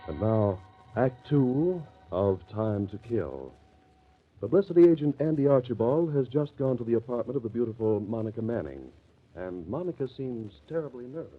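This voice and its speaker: A man's voice: medium pitch, sing-song, moderate pace. A man narrating a 1950s radio mystery as announcer, dramatic and suspenseful. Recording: tinny, narrow old radio recording.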